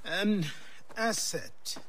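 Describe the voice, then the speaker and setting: An actor playing a reserved, weary older mentor in a video game, speaking in measured, terse phrases. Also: male voice, high voice, a bit bright, a little hiss or hum, full character voice. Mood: wistful, guarded. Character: weary, reserved old mentor of a young man. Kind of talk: video game cutscene acting. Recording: music behind the voice. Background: music.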